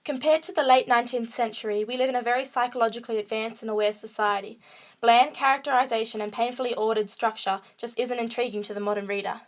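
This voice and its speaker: A woman's voice: on the high side, fairly even intonation, fast. A woman podcast host reading a scripted analytical essay about fiction aloud. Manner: reading aloud from a prepared text. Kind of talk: scripted essay narration, film and book analysis.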